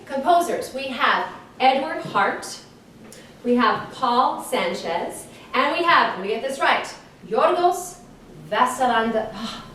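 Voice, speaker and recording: A woman hosting a live interview in a gushing, animated manner. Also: sits high, takes it slowly. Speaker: host. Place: large echoey room with guests on a couch. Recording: echoey room, distant mic, faint noise.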